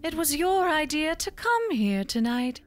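Actress as high-voiced, unhurried, uncanny fortune teller. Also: female voice, very animated. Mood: knowing, poised. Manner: theatrical, formal, deliberate. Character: poised, uncanny tarot reader running a curiosity shop. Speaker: actor. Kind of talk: horror audio drama acting.

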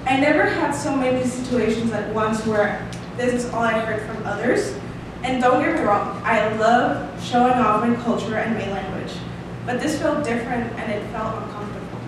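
A woman's voice: fairly high pitch, moderate pace. Costa Rican woman giving an earnest speech. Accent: Costa Rican Spanish. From Costa Rica. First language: Spanish. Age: 20s. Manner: earnest, reading aloud with hand gestures, light laughs. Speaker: student speaker. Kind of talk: prepared showcase speech read from paper. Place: small room, standing at a lectern. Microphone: stand mic at some distance. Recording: echoey room, distant mic, audible hiss.

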